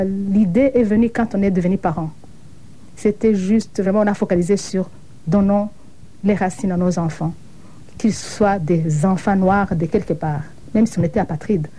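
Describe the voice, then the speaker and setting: A woman's voice: medium pitch, mellow. A middle-aged woman from Burundi, giving an earnest, thoughtful account as an interview guest. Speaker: interview guest, association co-founder. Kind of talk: reflective broadcast interview.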